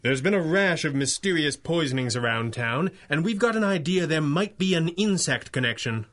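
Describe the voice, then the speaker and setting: A man playing a brainy, courteous masked hero in a 1930s-style radio drama, speaking crisply and earnestly in formal, deliberate phrases. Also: fairly high pitch, expressive, a bit bright. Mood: polite, earnest. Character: masked male crime-fighting hero, brainy, courteous, commanding.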